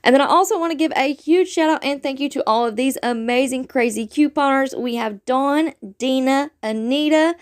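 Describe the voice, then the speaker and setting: A woman's voice: high voice, moderate pace, few pauses. Chatty woman with a sore throat. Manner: chatty, upbeat, friendly, addresses viewers as "y'all" and "guys". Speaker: couponing channel host. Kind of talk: casual vlog talk to viewers.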